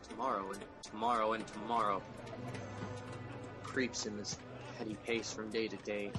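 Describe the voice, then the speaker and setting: A man acts a despairing, defiant tyrant king in a Shakespearean radio play. His voice is low and declamatory, swinging from hushed brooding to roared command, with music playing clearly behind him. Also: natural voice. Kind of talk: Shakespearean audio drama acting.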